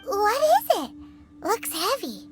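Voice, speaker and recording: Tiny cheeky fairy girl game mascot, squeaky high, chatty n blunt, really bouncy pitch. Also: sing-song, takes it slowly, soft background music, heavily acted. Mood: cheeky, curious. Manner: refers to herself by name, blunt, squeaky. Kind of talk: video game voice acting.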